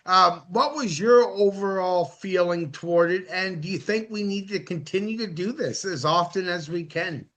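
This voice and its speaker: A Canadian man co-hosting a podcast, asking questions in a measured, firm way. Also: sits high, a bit bright. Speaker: podcast co-host.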